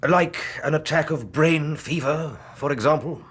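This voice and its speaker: A man acting in a British radio drama as a haughty, dry elder statesman with a husky voice and a pompous, sardonic manner. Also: fairly high pitch, a little slow, fairly bright tone.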